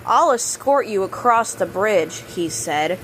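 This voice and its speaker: A woman reading a children's book aloud, expressive on characters' lines and sometimes stumbling and correcting herself. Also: mid-range voice, a little hiss or hum, low-quality recording. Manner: halting reader, stumbles and corrects words. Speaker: storybook reader.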